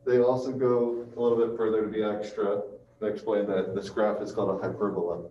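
A man's voice: on the low side, level tone. Man lecturing in a muffled, echoey classroom. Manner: halting, checks in with listeners. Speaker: math lecturer. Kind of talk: classroom calculus lecture, working through steps aloud. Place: small classroom with chalkboard and projector. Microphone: far camera. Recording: muffled, roomy, distant mic with hiss.

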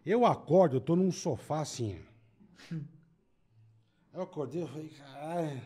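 A man in his forties, a podcast guest, telling stories in a profane, animated, laughing way. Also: a little high, unhurried. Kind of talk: casual podcast storytelling. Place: podcast studio with brick walls. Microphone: studio mic on boom arm, headphones.

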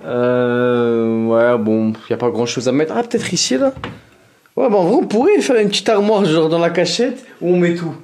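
A young man vlogging casually at home, excitable and chatty, talking straight to his viewers and laughing often. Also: on the high side, moderate pace, slight background noise. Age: young adult. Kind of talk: casual vlog to camera. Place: indoor bedroom at home. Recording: roomy echo, voice from off-mic.

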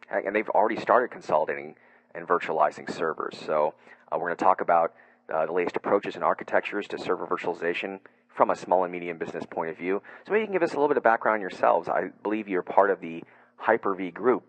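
A man's voice: sits low, low-quality recording. A middle-aged man hosting a tech webcast, talking in a friendly conversational way and asking long framing questions with plenty of fillers. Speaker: webcast host.

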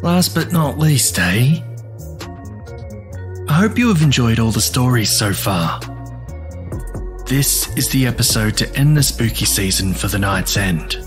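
A man's voice: mid-range voice, expressive, measured pace, long pauses. A man hosting a horror podcast, giving a theatrical scripted introduction. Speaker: podcast host. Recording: music under the voice.

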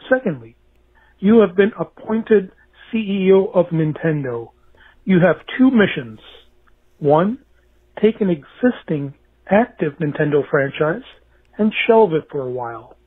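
A man's voice: on the high side, expressive, a little slow, slightly rough. Man on a tinny phone voicemail. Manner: friendly, orderly, lists points. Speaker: caller. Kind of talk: voicemail message.

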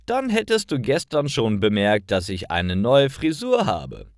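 A man's voice: mid-range voice, huge pitch swings, a little slow, no echo, clean studio sound. A man reading the part of a cheerful, teasing young wife in a storybook.

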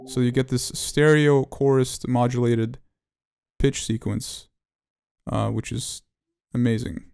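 A man giving an unscripted tutorial walkthrough, talking in a halting way as he thinks aloud. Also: mid-range voice, fairly even intonation, creaky, darkish tone. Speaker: audio plugin demonstrator.

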